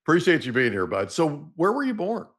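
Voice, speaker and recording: Chatty middle-aged American podcast host. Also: male voice, on the high side, huge pitch swings, brisk, fairly bright tone. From Oklahoma, United States. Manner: chatty, informal, folksy, rambling into anecdotes. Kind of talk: casual interview chat.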